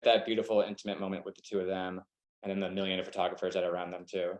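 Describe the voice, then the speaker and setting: A man's voice: low voice, racing. A young man with a Southern American accent, a wedding photographer chatting casually in a webinar, rambling and filling his talk with "like". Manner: relaxed, rambling, heavy use of "like". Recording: echoey room.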